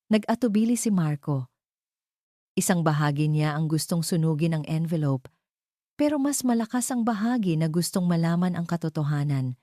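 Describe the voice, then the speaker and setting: A woman's voice: sits low, expressive, normal speed, dark tone, no room sound, studio quality. A woman reading a scripted romance story as a dramatic narrator.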